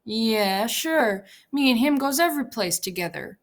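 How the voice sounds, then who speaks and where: A woman's voice: on the high side, lively intonation, a little slow, pitched above the performer's own voice. A woman voicing a big, simple-minded, childlike male ranch hand who talks in an eager, pleading, repetitive way. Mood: earnest.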